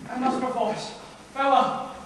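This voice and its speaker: A young woman plays a wronged noble prisoner in a Shakespearean stage play. The voice is high, formal and projected to the back of the house. It is heard from a distance in a very echoey hall with faint noise. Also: fairly even intonation, moderate pace, close to an everyday voice. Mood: resigned. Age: young adult. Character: wronged nobleman, resigned brother of the king, under arrest. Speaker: high school stage actor. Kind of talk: theatrical Shakespearean stage acting. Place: high school stage performance, recorded from afar.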